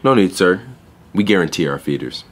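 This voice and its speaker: An actor playing an earnest, deadpan young pet store clerk in a podcast play. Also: male voice, sits low, a little fast. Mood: deadpan, officious. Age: young adult.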